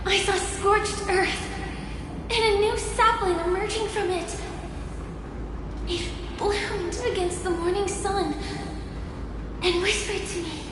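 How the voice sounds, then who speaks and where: Wistful young girl for a game monologue: gentle, poetic, unhurried, drenched in reverb over music. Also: very high-pitched, fairly even intonation, noisy background. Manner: soft, poetic, slow recitation. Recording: very echoey reverb, music behind.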